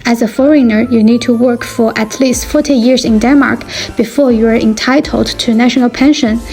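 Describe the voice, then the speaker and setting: Calm young woman vlogger from China. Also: a little high, normal speed, soft background music. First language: Chinese. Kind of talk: scripted vlog narration.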